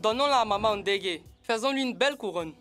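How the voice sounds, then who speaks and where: A woman voicing an upbeat, decisive child in a French-dubbed educational cartoon, expressive and announcing. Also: medium pitch, a little fast, faint music behind, natural voice.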